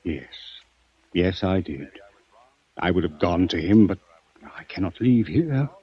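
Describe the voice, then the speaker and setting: An actor playing a cornered, murderous doctor in an old-time radio crime drama, husky, intense and melodramatic, with halting delivery. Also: male voice, deep voice, expressive, no echo, heavily acted. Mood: guarded, uneasy. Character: cornered murderous male doctor, indignant and desperate. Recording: dull vintage radio recording.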